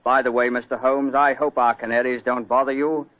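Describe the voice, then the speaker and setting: A thin vintage radio recording holds an actor as a mild, courteous fellow. He sounds sweet and genial, medium in pitch, in 1940s radio drama style. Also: level tone, fairly quick, lightly acted. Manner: polite, soft-spoken, genial. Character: sweet, mild man, secretly a notorious criminal. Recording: tinny, band-limited old radio recording.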